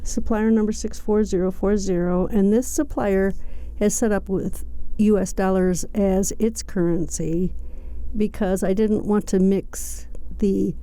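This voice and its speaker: A woman giving an unscripted, step-by-step software walkthrough in a methodical, explanatory manner. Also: mid-range voice, steady tone, measured pace, clear, resonant tone, rough recording. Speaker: software tutorial presenter.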